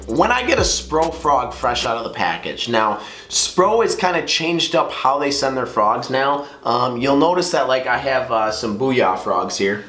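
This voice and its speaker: A man's voice: medium pitch, a bit bright, roomy sound. A man hosting a fishing video, talking casually to camera with a slightly nasal voice from a cold, rambling with many fillers. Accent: Upper Midwest American. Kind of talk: casual how-to talk to camera.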